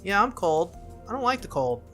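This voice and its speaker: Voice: mid-range voice, big pitch swings, moderate pace, sharp tone, soft background music, voice pushed up. A performer voicing a cheerful, childish young noble girl from a visual novel, eager, innocent and a little petulant. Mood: proud.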